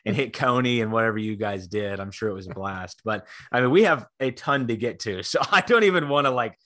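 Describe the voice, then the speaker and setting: A man's voice: lowish voice, animated, brisk, fairly bright tone, no echo, studio quality. A young man hosting a casual sports podcast, laid-back and rambling, often restarting his sentences. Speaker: podcast host.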